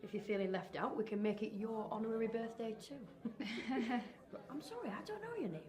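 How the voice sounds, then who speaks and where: Actress as a bubbly, animated young woman. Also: on the high side, brisk, natural voice. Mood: chatty, sociable. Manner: chatty, effusive. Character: bubbly, sociable young woman of twenty-five. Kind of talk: naturalistic radio drama. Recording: busy pub chatter behind.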